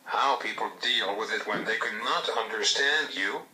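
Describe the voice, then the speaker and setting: A man interviewing people and asking plain, unhurried questions, heard from a distance over background noise. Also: lowish voice, sharp tone. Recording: distant mic, noisy background.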